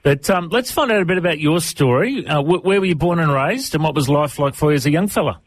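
A man hosting a radio interview, asking relaxed, conversational questions. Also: on the high side, quick pace.

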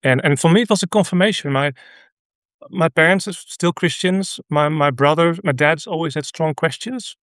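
A middle-aged man from the Netherlands with a Dutch accent, a radio guest telling a personal story in an earnest, halting way with many restarts. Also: a little high, a bit bright, no echo. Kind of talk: conversational interview.

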